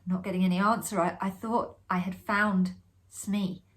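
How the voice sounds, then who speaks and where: A woman's voice: mid-range voice, flat delivery, close to an everyday voice. Woman reading as a shaken, stammering young Englishman. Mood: uneasy, confiding. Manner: halting, stammering, repeating words. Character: shaken, sheepish young man confiding an eerie scare. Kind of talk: performed reading of a ghost story.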